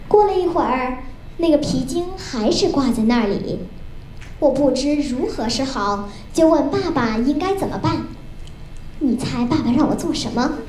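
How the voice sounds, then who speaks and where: A young girl reciting a rehearsed funny story on stage in a careful, expressive storytelling manner. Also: high voice. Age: child or young teen. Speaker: student storyteller. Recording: roomy with faint noise.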